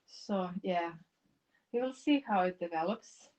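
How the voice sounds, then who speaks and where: A woman's voice: on the low side, lively intonation, darkish tone, dull audio. Musing woman. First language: Serbo-Croatian. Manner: musing, reflective, wandering sentences with many pauses. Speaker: artist and live streamer. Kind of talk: casual live-stream chat while painting. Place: home in the mountains.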